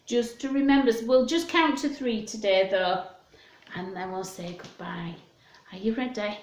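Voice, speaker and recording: A woman's voice: mid-range voice, unhurried, roomy sound. A woman reading a story aloud to young children, speaking simply and expressively to her listeners.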